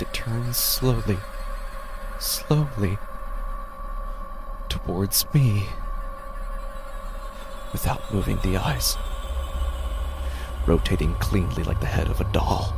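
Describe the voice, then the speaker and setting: A man giving a tense, hushed first-person reading of a horror story. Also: on the low side. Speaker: narrator.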